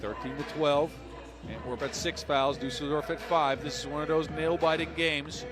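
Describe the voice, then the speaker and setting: A man's voice: a little high, moderate pace, over music. A man giving conversational play-by-play commentary on a live basketball game, lifting his voice on big plays. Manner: conversational, excitable on big shots.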